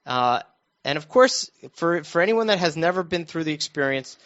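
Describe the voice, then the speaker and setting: A muffled, lo-fi recording of an American man in middle age with a slightly high voice. He chats casually as a show panelist, recounting things in a halting way with repeated restarts. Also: lively intonation, fairly bright tone. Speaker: radio show panelist recounting his own news. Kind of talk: casual conversational storytelling. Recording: dull, lo-fi muffled sound.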